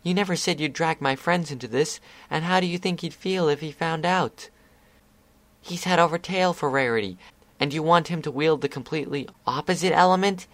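Guy doing a high-pitched upset little pony girl, stubborn, dry close sound. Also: lively intonation, moderate pace, pushed and bright, no room sound. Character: young female pegasus filly, stubborn and indignant.

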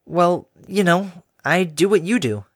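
A man's voice: fairly high pitch, lively intonation, brisk, no room sound, clean studio sound, voice pushed up, close to an everyday voice. A man voicing a secretive time-traveling pegasus mare who speaks politely and evasively. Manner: polite, evasive, nervous chuckles.

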